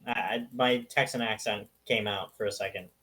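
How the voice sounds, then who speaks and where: A man's voice: fairly low pitch, steady tone, moderate pace, pushed and bright, breathy edge. A young man playing in a tabletop game over voice chat, speaking hesitantly with stammers and filler words. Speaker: player. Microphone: online voice chat mic.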